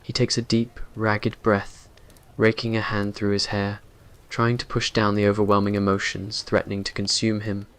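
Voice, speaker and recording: Low-pitched man narrating romance fiction, even delivery. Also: normal speed. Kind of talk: scripted romance story narration, ASMR roleplay.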